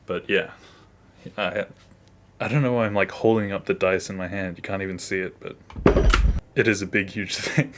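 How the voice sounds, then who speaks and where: A man's voice: sits low, steady tone, moderate pace, pauses often, a bit bright, a little hiss or hum. A man giving a casual behind-the-scenes walkthrough as an animator, offhand and self-deprecating, often trailing off. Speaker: animator, video creator.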